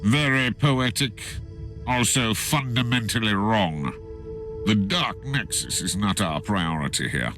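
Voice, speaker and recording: An actor playing a scornful, zealous Inquisitor, imperious and declamatory, in a theatrical game cutscene. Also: male voice, lowish voice, animated, slow pace, over music. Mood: dismissive.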